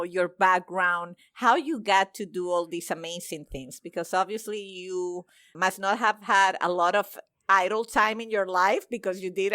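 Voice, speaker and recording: A woman's voice: mid-range voice, fairly bright tone, no echo, clean studio sound. A woman hosting a podcast interview, gushing with praise and often restarting her sentences. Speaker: podcast host.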